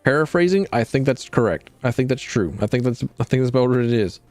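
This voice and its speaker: A man's voice: mid-range voice, expressive, fast, darkish tone, no echo, soft background music. A man streaming a video game, chatting casually in a rambling, wry way and often correcting himself. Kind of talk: casual gameplay commentary.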